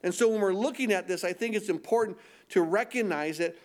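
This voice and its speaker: A man's voice: sits high, brisk. A man with a nasal voice preaching a sermon as pastor, talking conversationally and throwing in rhetorical questions.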